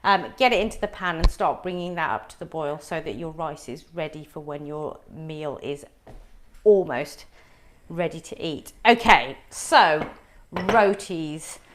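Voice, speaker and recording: A woman's voice: low voice, unhurried, a little hiss or hum. A middle-aged woman whose first language is Punjabi, chatting as she demonstrates a recipe step by step for viewers in a live cooking class. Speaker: cooking teacher.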